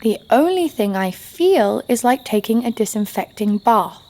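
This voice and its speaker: A woman's voice: on the high side, expressive, measured pace, darkish tone. A woman playing a brash, sardonic young adventurer, blunt and sarcastic, narrating her exploits in an audio drama. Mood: disgruntled.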